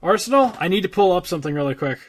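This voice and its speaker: Higher-voiced man in casual gaming chat. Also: lively intonation, racing, noisy background. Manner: informal, swearing. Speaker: podcast co-host.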